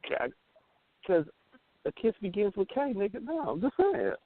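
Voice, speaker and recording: A man with a fairly high voice comes through a thin phone connection. He talks like an internet radio host joking around, slangy and profane, with playful banter. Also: huge pitch swings, moderate pace, no room sound. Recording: thin phone line with hiss.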